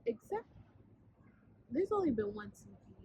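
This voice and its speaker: 22 yr old new girl vlogging on the street, kinda raspy from her sinuses, swears a lot, traffic noise behind her. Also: high-pitched, measured pace. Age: 20s. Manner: blunt, animated, swears freely. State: sinus congestion, slightly raspy. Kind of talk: casual vlog chat. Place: outdoors on a city street. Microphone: camera on a tripod. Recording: dull street recording with city noise.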